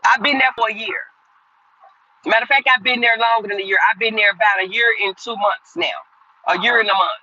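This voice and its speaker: A woman's voice: a little high, steady tone, brisk, sharp tone. Lady in her 50s ranting on a livestream, piercing tense voice, dull lo-fi sound. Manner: rambling and repetitive, talking straight to viewers. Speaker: livestream host. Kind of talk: casual live chat, answering viewer comments.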